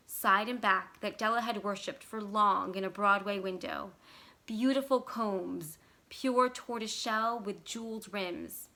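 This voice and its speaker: A woman reading a short story aloud as scripted narration, with expressive, deliberate and dramatic phrasing. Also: medium pitch, gravelly. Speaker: library staff storyteller.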